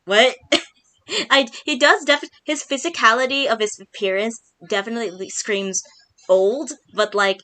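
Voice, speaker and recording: Woman host, Filipino accent, bright chatty delivery with frequent giggles, casual chat. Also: on the high side, a little slow.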